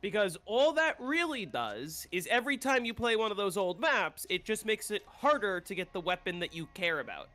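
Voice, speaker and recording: Bright-voiced man streaming a game. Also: high voice, sing-song, normal speed, hardly any pauses. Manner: rambling, opinionated, swears freely. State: congested, coming down with a cold. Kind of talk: casual gameplay chat.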